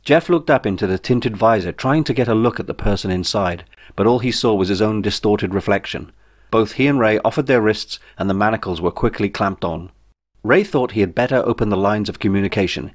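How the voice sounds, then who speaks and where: A man's voice: fairly low pitch, a little fast. A man narrating a comic science fiction audiobook in a wry, dry manner. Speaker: author reading own audiobook.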